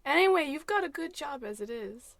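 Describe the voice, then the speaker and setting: A woman's voice: high-pitched, animated, fairly quick, creaky, dry recording. A woman playing a devoted, sensible young suburban wife in a parody old-time radio drama, genteel and melodramatic.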